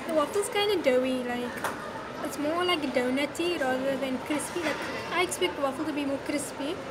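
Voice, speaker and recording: A woman's voice: sits high, normal speed. A young woman giving a casual, teasing food review on a phone camera in a mall café. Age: young adult. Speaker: vlogger. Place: busy mall café, indoors. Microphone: handheld phone camera. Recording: loud crowd noise behind. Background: café chatter.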